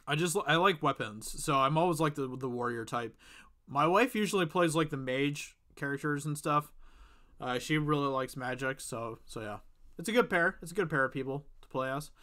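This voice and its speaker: A man's voice: fairly high pitch, normal speed, pushed and bright. A young man with a Michigan accent chatting casually with viewers as the host of a live stream. He rambles, uses a lot of fillers, and sounds slightly whiny. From Michigan, USA. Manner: rambling, with many fillers and restarts.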